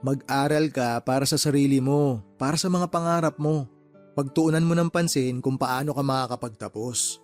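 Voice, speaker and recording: A man's voice: mid-range voice, moderate pace, faint music behind, close to an everyday voice. A man playing a caring, determined uncle in a radio drama, earnest, with a Filipino accent. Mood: earnest, encouraging. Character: caring, determined uncle who supports his niece's studies.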